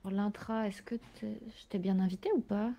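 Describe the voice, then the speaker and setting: A woman's voice: mid-range voice, steady tone, normal speed, vocal fry, warm tone. A woman chatting casually in an improvised online roleplay, thinking aloud as she welcomes a new employee.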